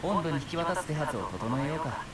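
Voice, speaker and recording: A man's voice: fairly high pitch, very animated, racing, recorded from a distance, over a noisy crowd. An actor playing a dutiful young male Japanese police officer in a visual novel, exasperated but patiently questioning. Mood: weary, firm.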